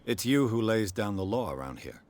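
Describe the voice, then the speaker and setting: An actor playing a terse, gruff private investigator who questions people bluntly in a video game, with a husky voice. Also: male voice, on the low side, big pitch swings, fairly quick, darkish tone. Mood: probing. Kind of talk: video game cutscene dialogue.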